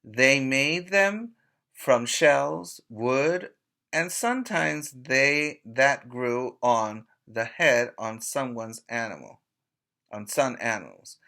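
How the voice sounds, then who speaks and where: A man teaching preschoolers by reading aloud in a deliberate, emphatic way, pausing to put questions to his young listeners. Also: medium pitch, expressive, gravelly, sharp tone. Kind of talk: read-aloud lesson for young children.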